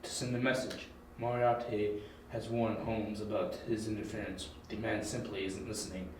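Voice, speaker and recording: Casting: male actor as smug, ruthless gangland boss for audio drama, lowish voice, recorded at a distance. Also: level tone, moderate pace, darkish tone, close to an everyday voice. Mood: smug menace. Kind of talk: amateur audio drama acting. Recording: very echoey, distant, faint noise.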